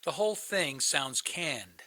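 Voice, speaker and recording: A man's voice: on the high side, lively intonation, slow pace, pushed and bright, exaggerated voice. An actor playing a bitter, sardonic man in a radio play, blunt and sarcastic. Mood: bitter, guarded. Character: bitter, sardonic man, crisis counselor posing as suicidal caller.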